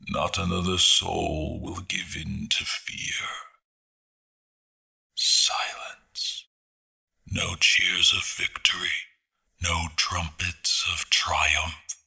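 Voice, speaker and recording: Man acting a deep, slow, solemn storyteller. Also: gravelly, breathy edge, exaggerated voice. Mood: solemn, hushed. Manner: grave, deliberate, often hushed. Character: solemn male steward recounting a fallen world's war. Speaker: narrator of an audio drama. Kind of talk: dramatic first-person scripted narration. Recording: music under the voice. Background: dramatic music.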